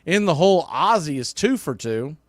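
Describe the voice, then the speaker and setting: A man with a fairly high voice works as a baseball announcer in a dry, close sound. He gives live radio commentary in short, clipped phrases, ready to lift his energy with the play. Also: moderate pace, no room sound. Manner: clipped play-by-play phrasing, bursts of excitement on hit balls.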